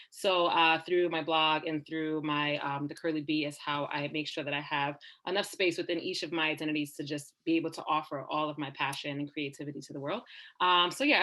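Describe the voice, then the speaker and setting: Bubbly woman on a panel, talks super fast, low voice. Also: fairly bright tone. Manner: bubbly, self-aware. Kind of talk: self-introduction on an online panel.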